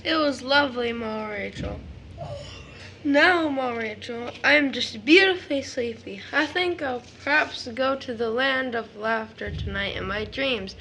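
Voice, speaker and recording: Sweet, wondering little boy acted by a woman for audio drama; very high, bright voice, faint noise behind. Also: exaggerated voice. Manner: childlike grammar and wondering questions. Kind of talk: stage drama audio acting.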